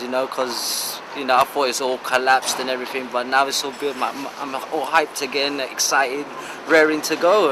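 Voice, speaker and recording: A man's voice: medium pitch, background noise. Eager, rambling man in his thirties. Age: 30s. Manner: eager, rambling, informal speech. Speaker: football player. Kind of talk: casual interview.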